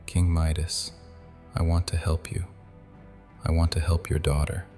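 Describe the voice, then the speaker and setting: A man's voice: rumbling low, little pitch movement, unhurried, mellow, soft background music. A man voicing a cold, haughty male vampire prince who speaks sternly and with command, in an audio drama with long hall reverb.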